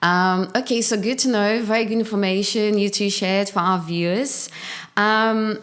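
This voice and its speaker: A woman hosting an interview, a native Portuguese speaker who pauses often with ums while finding her words. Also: medium pitch, fairly even intonation, a bit bright. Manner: hesitant, many ums while searching for words. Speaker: interview host. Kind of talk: video call interview.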